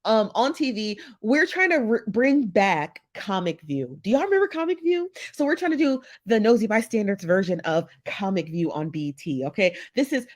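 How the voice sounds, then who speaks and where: A woman's voice: mid-range voice, very animated, moderate pace, hardly any pauses. Chatty woman host with a head cold. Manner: chatty, says y'all and child, laughs often. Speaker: host of a gossip talk show. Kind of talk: casual livestream chat reading comments.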